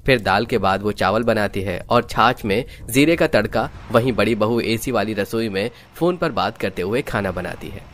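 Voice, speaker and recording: A man's voice: on the low side, moderate pace, few pauses, a bit bright, a little hiss or hum. A man reading scripted narration for a story.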